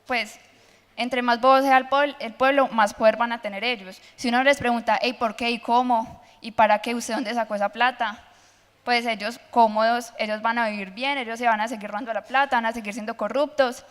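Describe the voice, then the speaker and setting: A woman's voice: on the high side, a little fast. Passionate teenage girl arguing at forum. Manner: passionate, animated, argumentative. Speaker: panelist. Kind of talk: public forum remarks. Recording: long hall reverb.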